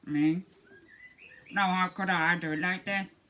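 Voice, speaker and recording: A woman's voice: fairly low pitch, fairly even intonation, measured pace, fairly bright tone. A woman voicing an affronted storybook farm animal, exaggerated and emphatic in protesting innocence.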